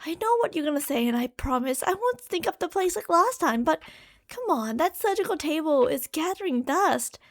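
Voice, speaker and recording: A woman's voice: high voice, expressive, moderate pace. A woman playing an impatient, obsessive female mad scientist in an ASMR roleplay, theatrical and condescending. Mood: brisk, evasive.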